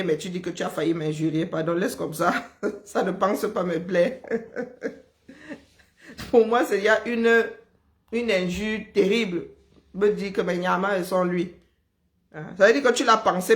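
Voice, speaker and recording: A woman from Cameroon with a Cameroonian French accent, chatting casually and telling lively stories, often breaking into laughter. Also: mid-range voice. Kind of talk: casual chat.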